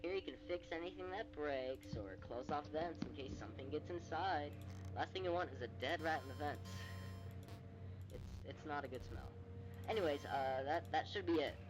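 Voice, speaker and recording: A man's voice: on the high side, moderate pace, lightly acted, low-quality recording. An actor playing a hesitant, rambling, casual man who briefs a new night guard in a horror game. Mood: awkward, friendly. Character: awkward, chatty male phone guy briefing a night guard. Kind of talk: scripted horror game voice acting. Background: music.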